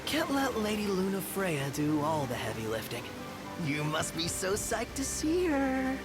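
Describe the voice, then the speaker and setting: Excitable, boyish young guy. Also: sits high, measured pace, full character voice. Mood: curious. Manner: eager, informal. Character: excitable, chatty, boyish young male travelling companion. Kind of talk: video game cutscene acting. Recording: loud music behind the voice.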